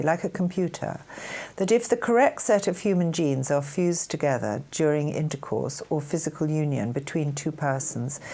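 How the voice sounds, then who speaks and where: A woman's voice: low-pitched, dark tone. An older British woman giving an earnest spiritual talk, reading dictated messages aloud in a formal manner.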